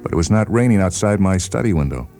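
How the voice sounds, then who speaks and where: A man as suspicious husband, low voice, terse, insistent, dismissive, radio story. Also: animated, fast, warm tone, soft background music. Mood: accusing. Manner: curt, insistent. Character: suspicious, curt husband rejecting his wife.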